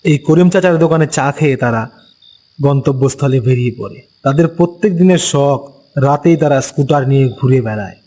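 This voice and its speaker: A man narrating an animated ghost story as a storyteller, unhurried and explanatory. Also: medium pitch.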